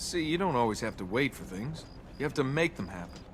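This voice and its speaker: An actor playing a cocky, impatient young adventurer in a video game, with a sarcastic, flippant way of talking. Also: male voice, medium pitch, very animated, a little fast, a little hiss or hum, close to an everyday voice. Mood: wry, challenging. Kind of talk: video game character dialogue. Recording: music behind the voice.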